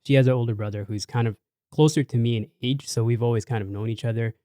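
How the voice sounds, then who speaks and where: A man hosting a casual podcast chat, relaxed and encouraging, with lots of fillers. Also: mid-range voice, fast, dry recording, studio quality. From Canada. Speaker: podcast host.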